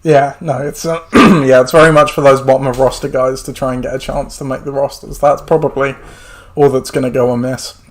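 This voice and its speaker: A man hosts a relaxed sports podcast, talking in a chatty, meandering way with plenty of ums. His voice sits at an ordinary middle pitch and sounds slightly worn, as he is losing it. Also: brisk. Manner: chatty, rambling with many fillers. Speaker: podcast host. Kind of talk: casual sports podcast chat.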